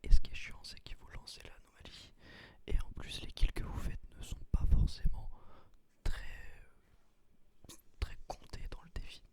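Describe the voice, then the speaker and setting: Whispering man, soft ASMR gameplay commentary. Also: normal speed. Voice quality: breathy whisper. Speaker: gaming video creator.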